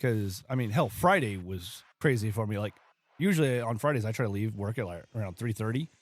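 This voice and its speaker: Hoarse, laid-back podcast co-host man. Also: lowish voice, expressive, brisk. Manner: laid-back, rambling, laughs often. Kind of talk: casual chat over beers.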